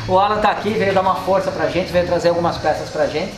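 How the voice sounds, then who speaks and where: A man's voice: sits high, fast. A Brazilian man in his forties, a mechanic hosting his channel, explaining repair work while gesturing at a car in an indoor workshop. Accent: Brazilian Portuguese. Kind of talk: casual workshop vlog talk. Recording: echoey workshop with music underneath.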